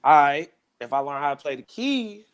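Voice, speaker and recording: A man's voice: a little high, very animated, moderate pace, clean tone. A man in his thirties, a music producer talking casually as an interview guest, in a laid-back, rambling way. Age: 30s. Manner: laid-back, rambling, keeps saying "you know what I'm saying".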